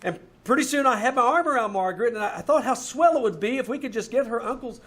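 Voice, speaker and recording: An actor playing a nervous, lovestruck young fiance in an old-time radio mystery, stammering and earnest. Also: male voice, very high-pitched, lively intonation, fast talker, a bit bright, full character voice. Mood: flustered, pleading. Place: live on a theater stage.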